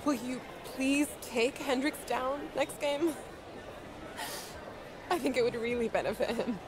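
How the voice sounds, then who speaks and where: A woman's voice: high voice, a little slow, a crowd in the background, noisy background. An actress playing a sincere young woman athlete saying farewell in a video game cutscene. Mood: wistful, tender. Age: young adult.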